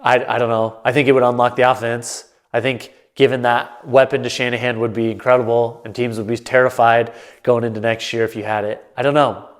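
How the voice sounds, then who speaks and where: A man's voice: mid-range voice, little pitch movement, a little fast, hardly any pauses. A man hosting his own sports talk show, stuffed up and a bit nasal, thinking aloud in a loose, rambling way to the camera. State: congested, nasal. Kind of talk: casual solo commentary to camera. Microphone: camera mic, solo setup.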